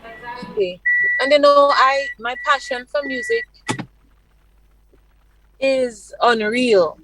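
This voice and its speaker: A woman's voice: high-pitched, slow, bright, forceful tone, a little hiss or hum, poor audio. A Grenadian woman giving enthusiastic interview answers as a guest, effusive and emphatic.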